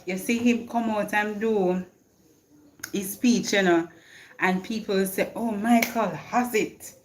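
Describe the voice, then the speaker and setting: A Jamaican woman hosting a live stream, chatting casually and answering viewer comments. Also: medium pitch. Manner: reads viewer comments aloud and answers them, laughs often. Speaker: livestream host. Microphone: phone.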